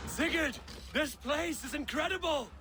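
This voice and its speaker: A man's voice: very high-pitched, measured pace, loud music behind the voice. An actor playing an earnest viking warrior who asks after his father in a video game cutscene. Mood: excited. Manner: earnest and questioning.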